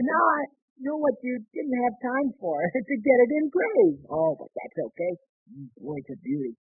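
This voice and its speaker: Excitable, high-pitched bumbling husband. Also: male voice, measured pace, creaky, no room sound, heavily acted. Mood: excited. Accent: American. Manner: stammering, eager, exclaiming. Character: excitable, good-natured bumbling young husband and office worker. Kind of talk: 1940s radio comedy acting. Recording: muffled, band-limited vintage radio recording.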